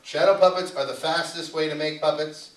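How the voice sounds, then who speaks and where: A man telling stories live to children as a puppeteer, with dramatic, drawn-out delivery. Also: a little high, flat delivery. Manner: dramatic pauses and drawn-out repetition, asks the audience questions. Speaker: puppeteer and storyteller. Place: arts center live show. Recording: roomy hall, distant mic, faint noise.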